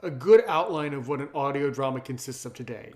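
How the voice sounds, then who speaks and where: A man's voice: medium pitch, brisk, a little mellow. A man hosting a casual interview, effusive and wordy, circling around his questions. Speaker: host, interviewer.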